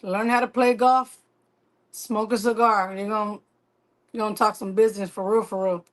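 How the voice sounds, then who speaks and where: A woman's voice: mid-range voice, steady tone, moderate pace. A woman with a New York accent chatting casually on a podcast, frank and laughing. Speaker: podcast guest. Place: San Diego.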